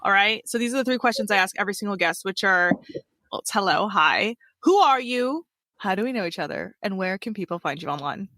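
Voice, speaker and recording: A woman's voice: mid-range voice, lively intonation, pushed and bright, no echo. A woman hosting a podcast, chatting casually with a guest and laughing as she talks. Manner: chatty, laughing, quick asides. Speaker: podcast host. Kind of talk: casual interview chat.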